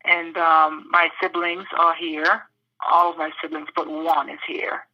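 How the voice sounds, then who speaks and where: A woman from the British Virgin Islands answering interview questions thoughtfully, pausing often with fillers. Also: sits low, little pitch movement, highs cut off. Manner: thoughtful, with many pauses and fillers.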